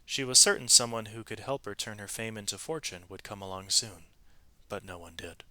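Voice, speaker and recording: A man narrating a children's story aloud in an expressive, animated way, with varied emphasis from phrase to phrase. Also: on the low side, brisk, no room sound.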